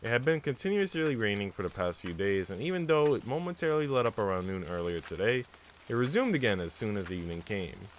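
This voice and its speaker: A man's voice: fairly low pitch, very animated, fast. A man on a live stream, reading visual novel text aloud and chatting casually with viewers. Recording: noisy mic with typing sounds.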